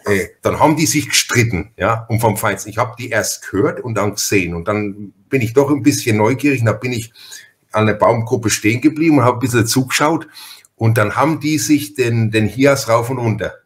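A man's voice: mid-range voice, fairly quick. A man from Franconia with a Franconian accent, hosting a casual podcast interview and telling stories in a folksy, chatty, stop-and-start way. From Franconia, Germany. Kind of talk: casual interview chat with storytelling.

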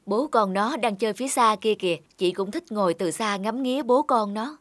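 A woman playing a caring, sensible young mother in an animated drama, talking in a consoling, matter-of-fact way. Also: medium pitch, normal speed, dry recording, lightly acted. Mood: friendly. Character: caring, sensible married mother, an older-sister friend. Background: background music.

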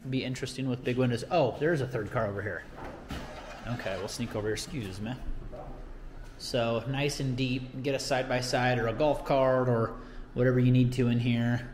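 A man's voice: medium pitch, fairly even intonation, moderate pace, crowd noise. An American man in his thirties giving an informal, enthusiastic walk-through tour in casual, slangy language. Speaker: real estate vlogger giving a home tour. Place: walking through a model home and its yard. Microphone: handheld camera at arm's length. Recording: noisy handheld camera with ambient sound.

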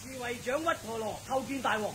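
A dutiful captain of the royal guard in a radio drama. His voice is notably high for a man. He speaks like an official, yet casually, drawing out his interjections. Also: steady tone, fast talker, noisy background. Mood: respectful. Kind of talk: Cantonese radio drama acting.

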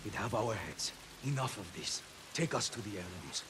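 An actor playing a stern, impatient ronin mercenary in a video game, curt and commanding. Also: male voice, lowish voice, lively intonation, moderate pace, noisy background, lightly acted.

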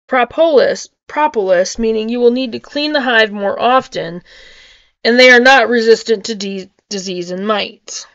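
A woman's voice: fairly high pitch, fairly bright tone, clear, resonant tone, no echo, muffled recording. A middle-aged woman reading an article aloud with casual asides, sometimes stumbling over and repeating hard words, recorded on a webcam in a home kitchen. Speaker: homesteading vlogger. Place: small home kitchen with wood-panel ceiling, indoors.

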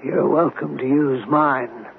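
A man's voice: mid-range voice, slow pace, gravelly. A man acting a flustered, evasive company president in a 1970s radio drama, hesitant and defensive. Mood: testy. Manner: hesitant, anxious, defensive businessman. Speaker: actor in a radio mystery drama. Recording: tinny, noisy old radio recording.

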